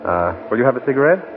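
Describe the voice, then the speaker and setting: An actor playing an earnest, awkward, smitten novelist in a 1940s radio drama, speaking with polite hesitation. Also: male voice, mid-range voice, noisy background. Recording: tinny, band-limited 1940s radio broadcast.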